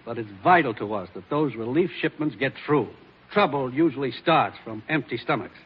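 An actor playing a grave, businesslike commissioner in a 1940s radio drama, speaking with an American accent in a measured, authoritative way. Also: male voice, mid-range voice, expressive, breathy edge, long reverb tail. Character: grave, businesslike male commissioner briefing his agent. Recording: muffled 1940s radio recording.

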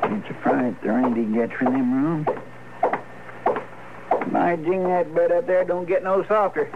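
A man's voice: fairly high pitch, unhurried, narrow, tinny audio. An actor playing a fussy, loyal frontier townsman with a rural Western American drawl in a 1950s radio western. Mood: folksy, earnest. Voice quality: twangy drawl. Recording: noisy old radio recording.

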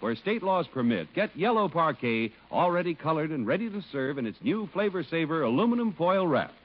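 A man's voice: fairly high pitch, very animated, fairly quick. A man announcing in 1950s radio style, with an emphatic, polished sales delivery of scripted copy. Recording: dull vintage radio recording.

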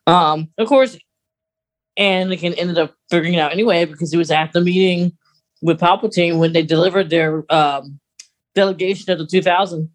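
A woman's voice: on the low side, steady tone, a little fast. A woman speaks as a podcast co-host in an informal fan discussion. She explains things conversationally, qualifying herself and tucking in extra details as she goes. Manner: conversational, hedging, adds asides and details.

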